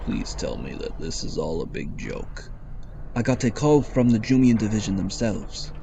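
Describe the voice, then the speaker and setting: An actor playing a stern, distrustful, principled ship captain in an audio drama, blunt and formal. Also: male voice, on the low side, expressive, moderate pace, gravelly, background noise. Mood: indignant.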